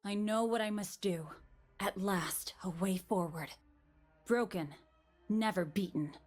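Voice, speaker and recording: An actress voices a scarred female warrior from a video game, solemn and unhurried. She speaks in short, dramatic lines, burdened by remorse yet resolute. Music plays underneath. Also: mid-range voice, a little mellow, lightly acted. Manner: solemn, terse, dramatic delivery.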